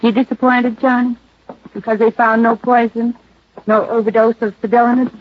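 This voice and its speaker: A woman's voice: fairly high pitch, flat delivery, moderate pace, lightly acted. An actress playing a cool, defiant and smug female murder suspect in a 1950s radio detective drama, taunting and self-assured. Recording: dull, lo-fi old radio recording.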